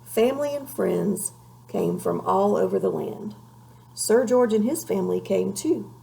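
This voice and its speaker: A woman reading a children's story aloud in an expressive, measured way, with a creaky voice. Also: mid-range voice, low-quality recording. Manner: measured, animated read-aloud delivery. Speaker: storybook reader.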